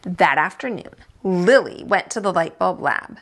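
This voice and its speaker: A woman reading a children's picture book aloud as a teacher, expressive and dramatizing, with asides about the pictures. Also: fairly low pitch, a little slow.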